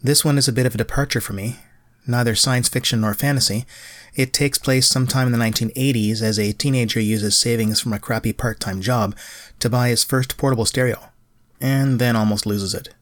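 A man's voice: medium pitch, fairly even intonation, brisk, no room sound. Middle-aged man, close dry recording. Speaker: podcast host, writer. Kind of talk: scripted solo podcast narration.

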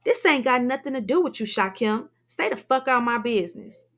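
A woman voicing a defiant, sharp-tongued young woman who curses and argues. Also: medium pitch, moderate pace, natural voice. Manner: combative, cursing.

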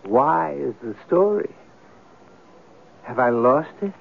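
An actor playing a proud, troubled writer in a radio drama, speaking in an articulate, insistent, theatrical way. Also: male voice, medium pitch, expressive, slow pace, gravelly, a little hiss or hum. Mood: despairing. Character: intense, troubled male writer, proud and brooding. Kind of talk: old-time radio drama acting. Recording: narrow, tinny old radio recording.

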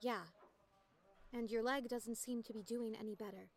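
A woman acting in an audio drama as an excitable, concerned teenage girl athlete, chatty and earnest as she probes her friend. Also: fairly high pitch, normal speed. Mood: cautious, worried.

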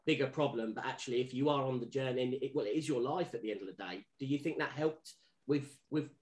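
A man's voice: medium pitch, fast, a little mellow. A man hosting a podcast, asking long, rambling questions with restarts. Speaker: podcast host. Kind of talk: podcast interview.

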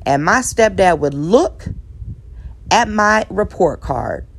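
A woman's voice: lowish voice, big pitch swings, takes it slowly, vocal fry. A woman, a divorced single mother giving relationship advice in an earnest, reflective monologue, with a preacher-like cadence, repeated phrases and self-deprecating asides.

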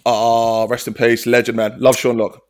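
A man's voice: medium pitch, completely flat delivery, moderate pace, a little mellow. A man in casual comedy chat, sweary and rambling, laughing often and restarting his sentences.

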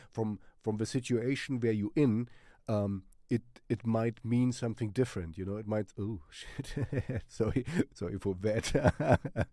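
A man's voice: lowish voice, a little mellow, dry recording, studio quality. A man with a German accent, sick with a cold, rambling through a casual music recommendation full of fillers and swearing. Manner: swears casually and laughs often. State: has a cold. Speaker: music channel host.

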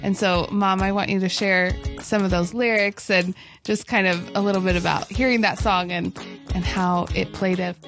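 A young woman co-hosting a family faith podcast in casual chat, earnest and quick to laugh. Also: mid-range voice, steady tone, over music. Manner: warm, laughs often, earnest about faith. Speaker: podcast co-host.

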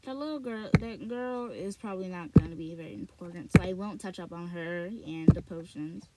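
Woman podcaster in a small closet, reads slowly, then chats loosely, repeating words and filling pauses. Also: on the low side, heavy background noise. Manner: rambling, repeats words, frequent fillers and restarts. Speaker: podcast host reading a novel aloud. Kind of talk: slow read-aloud, then rambling casual chat.

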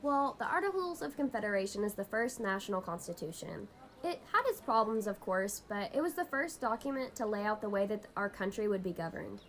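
A woman's voice: medium pitch, animated, a little fast, natural voice. A woman plays a young woman in an amateur radio play, chatting with a friend over lunch. She speaks in a patient, explanatory way, as if teaching through scripted dialogue.

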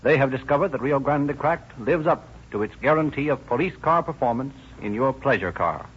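A man announcing and narrating in a formal, measured 1930s radio style. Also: mid-range voice, animated, heavy background noise. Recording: muffled old radio recording, music behind.

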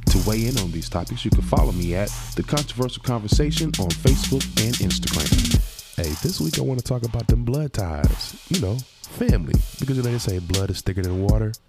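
Deep-voiced man hosting a podcast, nasal. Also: lively intonation, brisk, loud music behind the voice. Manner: conversational, loose and personal, with asides. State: nasal from a cold. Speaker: podcast host. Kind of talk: casual solo podcast monologue.

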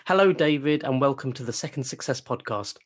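A man's voice: medium pitch, lively intonation, fairly quick. Chatty man hosting a podcast. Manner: chatty, repeats and restarts phrases. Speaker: podcast host. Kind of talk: podcast interview.

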